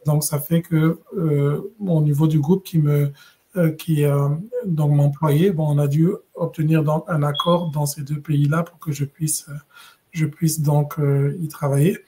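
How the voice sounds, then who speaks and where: A middle-aged man from West Africa, speaking as a podcast guest. His voice sits a little high for a man but has a warm, mellow tone. He tells his story in a measured, reflective way and often pauses and restarts his sentences. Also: little pitch movement, rough recording. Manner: measured, thoughtful storytelling with frequent hesitations and restarts. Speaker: guest, regional director. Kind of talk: conversational podcast interview.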